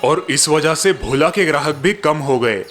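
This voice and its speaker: A man's voice: a little high, animated, measured pace, fairly bright tone, soft background music. A man narrating scripted moral and horror stories in a dramatic storytelling style.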